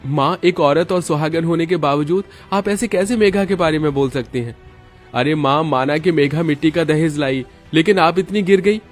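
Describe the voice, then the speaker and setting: A man's voice: on the high side, moderate pace, soft background music, lightly acted. A man voicing a stern, protective young husband in a cartoon story, firm and reproachful. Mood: indignant. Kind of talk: cartoon moral story acting.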